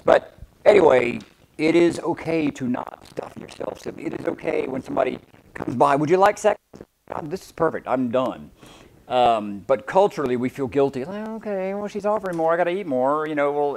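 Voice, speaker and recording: A man's voice: a little high, vocal fry, a little mellow, low-quality recording. Chatty man chef in a demo kitchen. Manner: chatty, rambling, self-deprecating. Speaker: chef giving a cooking demo. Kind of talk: casual talk to a live audience. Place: large demo kitchen indoors.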